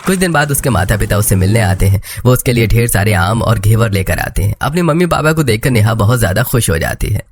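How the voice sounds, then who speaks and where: A man's voice: on the low side, lively intonation, fast, few pauses, slightly rough. A man narrating a scripted cartoon story as its storyteller.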